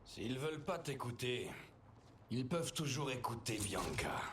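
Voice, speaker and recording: A seasoned mentor in a fantasy game, resolute with a wry edge. His mid-range voice swings expressively and competes with loud noise behind it. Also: medium pitch, big pitch swings, measured pace. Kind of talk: video game voice acting.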